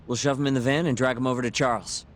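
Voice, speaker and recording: A man's voice: mid-range voice, steady tone, very fast, slight background noise, close to an everyday voice. A man playing an alert, easygoing young member of a detective crew in a video game cutscene. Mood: brisk, upbeat.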